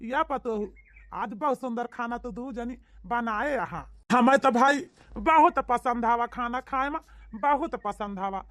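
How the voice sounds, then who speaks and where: A woman's voice: fairly high pitch, a little slow, fairly bright tone. A woman voicing a village in-law in a comedy cartoon, speaking with an Awadhi accent in an emphatic, folksy, repetitive way. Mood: delighted.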